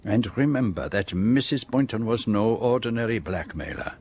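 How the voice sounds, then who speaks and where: An actor playing a shrewd, precise Belgian detective with a French-speaking accent, formally laying out a case in a radio drama. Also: male voice, on the low side, animated, a little mellow, slightly rough. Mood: measured, assured. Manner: measured, precise, formal explanation. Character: shrewd, precise, theatrical Belgian detective, middle-aged man.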